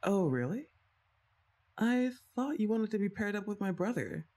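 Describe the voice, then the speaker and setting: A woman's voice: mid-range voice, sing-song, moderate pace, mellow. A young woman voicing her best friend's older sister in an ASMR roleplay, chatting and teasing the listener and laughing often. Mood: playful. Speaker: voice actress.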